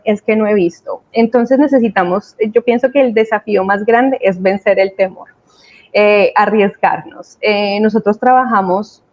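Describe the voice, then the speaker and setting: A woman with a Latin American accent speaking as a webinar panelist, explaining her points with emphasis. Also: mid-range voice. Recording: dull, lo-fi online call sound.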